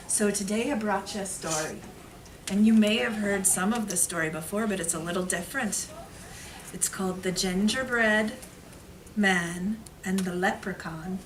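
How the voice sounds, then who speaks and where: A woman telling stories to young children at an elementary school, explaining in simple, repeated phrases with dramatic emphasis. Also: medium pitch. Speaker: elementary school read-aloud storyteller. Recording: distant mic, noisy room.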